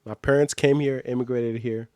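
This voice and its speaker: A man's voice: medium pitch, fairly even intonation, moderate pace, a little mellow, no room sound, clean studio sound. A man from Nigeria who grew up in the United States, with an American accent, reacting to a video as a streamer in casual slang and repeating phrases for emphasis. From born in Nigeria, grew up in the United States.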